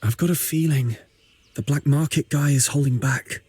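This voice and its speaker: An actor voicing a watchful, protective young hero in a video game, with a husky voice. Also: male voice, mid-range voice, moderate pace, darkish tone, dry recording. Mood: alert, concerned.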